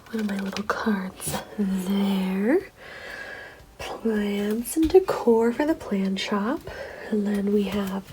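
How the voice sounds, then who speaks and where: A woman vlogger chatting casually behind the scenes, soft-spoken and often breathy, nearly whispering. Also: mid-range voice, slight background noise, poor audio. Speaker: ASMR video creator and vlogger.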